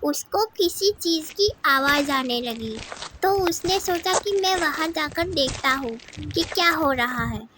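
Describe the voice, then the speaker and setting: A woman storyteller with a very high, childlike pitch narrates a children's cartoon tale. She reads slowly, and the recording has clear background noise. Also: animated.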